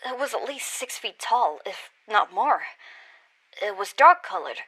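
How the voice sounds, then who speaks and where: A woman voicing a female witness who quietly and uneasily recalls a frightening sighting in the first person. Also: medium pitch, big pitch swings, unhurried, husky, heavily acted, poor audio. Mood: uneasy.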